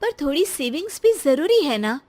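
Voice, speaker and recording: Casting: practical, neglected young wife, played by a woman in a cartoon tale, nagging, higher pitch. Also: very animated, normal speed, mellow. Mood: pointed. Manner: pointed, complaining.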